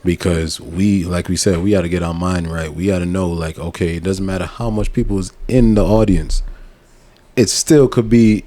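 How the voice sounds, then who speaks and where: A man chatting on a podcast as an artist, slangy and quick to agree with the others. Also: sits low, fairly even intonation, mellow. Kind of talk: casual podcast chat.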